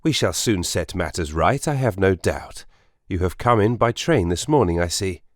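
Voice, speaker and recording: An actor playing a courteous, self-assured Victorian gentleman detective in an audiobook drama, precise and soothing. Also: sits low, expressive, moderate pace, no room sound, clean studio sound. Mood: reassuring. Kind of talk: full-cast audiobook drama acting.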